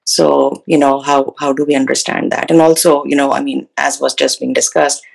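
Gravelly-voiced woman asking a question. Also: very deep voice, flat delivery, moderate pace, poor audio. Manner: hesitant, with many fillers like "you know" and "um". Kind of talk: questioning the lecturer.

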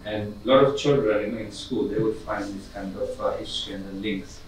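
A man's voice: on the low side, fairly quick. A middle-aged man giving a public talk to an audience in a community conference room, speaking hesitantly with many fillers and restarts. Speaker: guest lecturer. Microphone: far camera. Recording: echoey room from far off, faint hiss.

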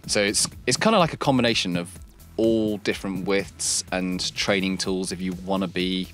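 A man with a low voice explains his homemade climbing setup in a cramped wooden cellar. He talks casually, speeding up when he gets excited. Faint music plays underneath. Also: moderate pace. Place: cramped wood-lined cellar indoors.

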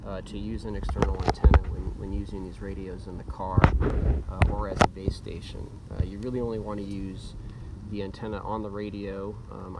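A man with a fairly low voice gives a casual gear demo in a matter-of-fact, hesitant way. His voice has a strong fry to it. He is outdoors and off the mic, with loud static and noise around him. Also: far from the mic. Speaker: radio reviewer demonstrating gear. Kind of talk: casual product demonstration. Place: outdoors at a patio table. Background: CB radio chatter, static, clicks.

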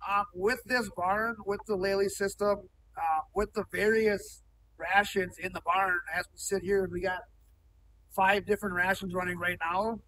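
A man's voice: sits high, steady tone, normal speed, pushed and bright, distant mic, slight background noise, rough recording. A man in his thirties, a cattle farmer talking plainly in an on-site interview in a large barn, with frequent ums and restarts. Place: indoor cattle barn, large, open-sided.

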